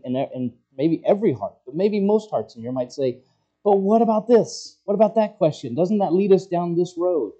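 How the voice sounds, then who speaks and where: A man preaching an expository sermon, working through scripture as a teacher would, often halting as he searches for words. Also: a little high, mellow.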